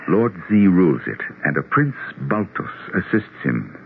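A man's voice: sits low, sing-song, normal speed, gravelly, exaggerated voice. A man acting an earnest, intense grieving psychologist in a 1970s radio drama. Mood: earnest, insistent. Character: earnest, grieving psychologist obsessed with proving afterlife. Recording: muffled, noisy old radio broadcast.

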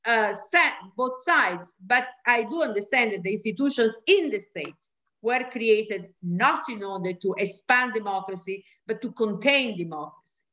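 An older woman speaking as an academic panelist on a video call, halting as she searches for words. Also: medium pitch, animated, sharp tone. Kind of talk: unscripted panel discussion over video call. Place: small room at home, indoors. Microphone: computer mic on a video call.

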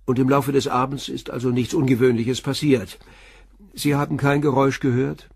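An actor playing a calm, probing police inspector in a radio crime drama, with a husky voice and a deliberate, matter-of-fact manner. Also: male voice, medium pitch, no echo.

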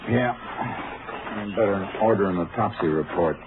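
Male actor as no-nonsense lawman, deep voice, terse, matter-of-fact, old radio western, muffled recording. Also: a little slow, noisy background, lightly acted. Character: steady, no-nonsense male Texas Ranger investigator. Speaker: lead actor and narrator. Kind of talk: 1950s radio crime drama acting.

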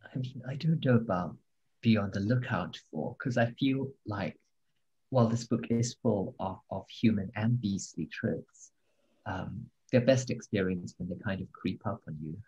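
A man answering as a guest, speaking in a measured, reflective way with small hesitations. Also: fairly low pitch, a little mellow, slightly rough, highs cut off. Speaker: literary translator, guest. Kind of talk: interview answer.

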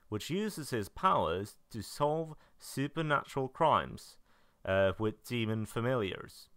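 A man narrating a semi-scripted review in a matter-of-fact way, with dry, deadpan asides. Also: mid-range voice, clear, resonant tone, no room sound. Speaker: video narrator reviewing game releases.

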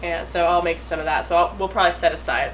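A young woman with a fairly low voice for a woman talks cheerfully about her cooking plans in a home kitchen. She laughs easily and explains things in a relaxed, chatty way. Chopping and kitchen noise can be heard clearly behind her. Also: quick pace, rough recording. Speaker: homestead vlog co-host. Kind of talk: casual chat while cooking.

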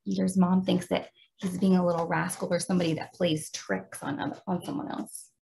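A middle-aged woman with an American accent, explaining and reading aloud as a teacher of young children in an expressive way. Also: on the low side, normal speed, vocal fry, a little hiss or hum. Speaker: teacher demonstrating a read-aloud.

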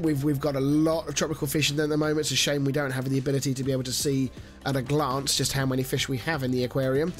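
A man in his thirties with a nasal, stuffy voice from a cold, rambling chattily through a let's-play with plenty of fillers. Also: mid-range voice. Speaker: gaming channel host. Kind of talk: casual let's-play commentary.

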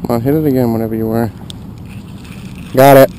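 A man talks casually to himself and his camera while fishing out on open ice. His voice is medium in pitch and his talk is slangy and excitable. The action-camera audio has clear windy noise behind him. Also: a little fast. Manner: informal, slangy, excitable at catches. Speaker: fishing vlogger. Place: outdoors on a frozen pond. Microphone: action camera worn on body. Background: wind noise.

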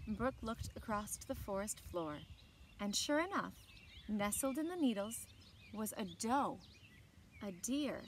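A woman in her thirties reading a children's picture book aloud expressively, with deliberate phrasing and dramatic emphasis. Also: medium pitch. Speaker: library story time reader. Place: outdoors on a lawn by trees. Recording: outdoor background noise with birdsong. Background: outdoor ambience, birds.

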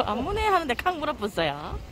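A woman's voice: high-pitched, huge pitch swings, fairly quick. A woman hosting a fishing video, exclaiming and laughing as she films outdoors on a rocky seawall. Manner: exclaims often, laughs a lot. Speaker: fishing channel host filming. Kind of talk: excited live commentary while filming. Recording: outdoor wind and surf noise. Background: wind and waves.

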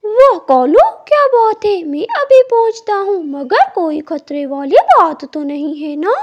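A woman's voice: very high voice, big pitch swings, unhurried, muffled recording, heavily acted. A woman voicing a cowardly thieving male owl sidekick in a cartoon. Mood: eager.

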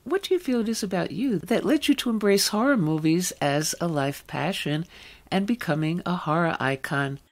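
A woman's voice: lowish voice, expressive, normal speed. A woman hosting a radio interview, putting questions to a guest.

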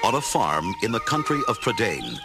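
Male narrator, deep voice, very expressive, dramatic storybook reading, prominent music underneath. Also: moderate pace.

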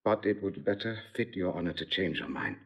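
A cold villainous nobleman in a classical audio drama. His voice is low and husky, with a lively rise and fall. He speaks formally, in measured, insinuating phrases. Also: heavily acted. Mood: cold, scheming. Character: cold scheming nobleman villain, slandering brother.

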